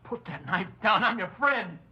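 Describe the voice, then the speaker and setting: An actor playing an anxious, pleading friend of a killer, urgent and agitated, in a 1950s radio crime drama. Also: male voice, high-pitched, big pitch swings, moderate pace, bright, forceful tone, slightly rough, exaggerated voice. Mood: panicked pleading. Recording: dull, noisy 1950s radio recording.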